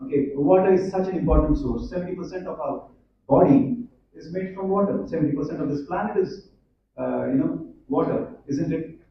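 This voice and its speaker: A man with an Indian English accent gives a chatty conference talk. He often pauses on fillers and asks the students questions. His fairly high voice sounds far off and dull in a very echoey hall. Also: slight background noise. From Hyderabad, India. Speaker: conference speaker. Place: large echoey college hall.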